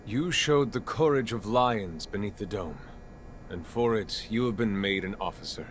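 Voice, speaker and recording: An actor playing a proud, dignified mentor in a video game, speaking formally as a teacher of officers. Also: male voice, lowish voice, normal speed, slight background noise, close to an everyday voice. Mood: proud, gracious. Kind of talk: dramatic game dialogue.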